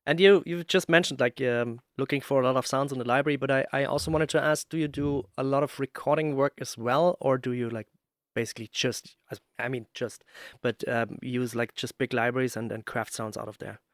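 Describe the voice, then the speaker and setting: A man's voice: medium pitch, fairly even intonation, a little fast, no echo, studio quality. A man hosting a casual interview, chatting in an informal, agreeable and talkative way. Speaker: podcast host and sound professional.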